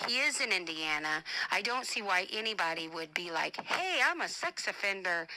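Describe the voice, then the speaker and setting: A woman's voice: mid-range voice, bright, forceful tone, rough recording. A woman speaking informally in a personal voice message, emphatic and defensive, repeating her points to drive them home. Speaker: family advocate and show host.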